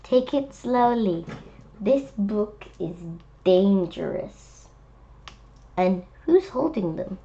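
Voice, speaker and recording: A woman's voice: mid-range voice, lively intonation, slow pace, gravelly, dark tone, highs cut off. A woman reading a children's book aloud, adding chatty, playful asides and speaking directly to young listeners.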